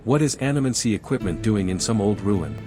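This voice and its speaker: Game hero: actor as level-headed male party leader, lowish voice, composed, light music underneath. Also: animated, fairly quick, lightly acted. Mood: curious. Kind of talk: video game voice acting.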